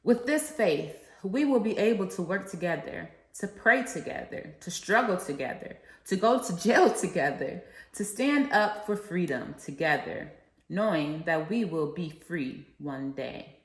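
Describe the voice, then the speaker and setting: Echoey low-voiced woman reading for children. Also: animated, measured pace. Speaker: children's read-along reader and educator. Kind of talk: read-aloud of a famous speech with simple explanations for children. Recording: echoey, reverberant room sound.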